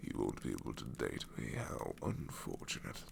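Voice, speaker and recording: A man's voice: extremely low pitch, huge pitch swings, moderate pace, creaky, noisy background, exaggerated voice. A man voicing a sassy, married male shadow demon in an ASMR roleplay, talking sarcastically and drawling, often dropping into whispers. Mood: sarcastic.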